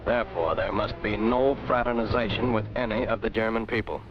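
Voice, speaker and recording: Stern low-voiced American 1940s newsreel-style narrator. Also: male voice, a little fast. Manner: stern, emphatic, clipped dramatic phrasing. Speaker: propaganda film narrator. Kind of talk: 1940s wartime documentary narration, scripted. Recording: dull 1940s archive film soundtrack, music.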